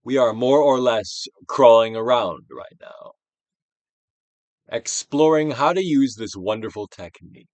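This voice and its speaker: A man's voice: mid-range voice, expressive, a little slow, darkish tone, no room sound. A man giving a theatrical, declamatory dramatic reading of a self-help book, like a stage Shakespearean, drawing out phrases for emphasis. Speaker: audiobook reader, actor.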